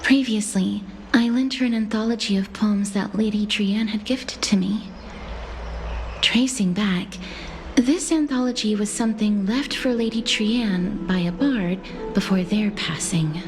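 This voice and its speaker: An actress playing a polite, bookish young woman in a video game, speaking softly and formally. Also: medium pitch, a little slow, natural voice. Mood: gentle, earnest. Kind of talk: scripted video game dialogue. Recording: music behind the voice. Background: game music.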